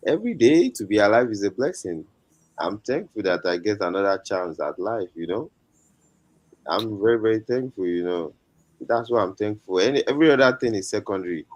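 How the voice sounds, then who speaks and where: Man, Nigerian accent, low deep voice, casual interview talk, comedian guest. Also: lively intonation, a little fast.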